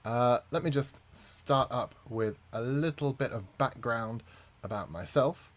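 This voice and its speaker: A man's voice: medium pitch, a little slow. A man, a native English speaker, presenting a conference talk as a community manager, friendly with frequent fillers.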